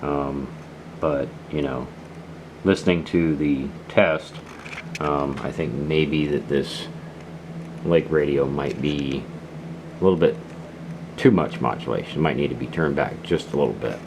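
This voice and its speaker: Casting: low-voiced male hobbyist, casual hands-on demo talk, rambling and hesitant, clearly noisy recording. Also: unhurried, pauses often. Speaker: radio hobbyist reviewer. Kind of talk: casual unscripted product demonstration.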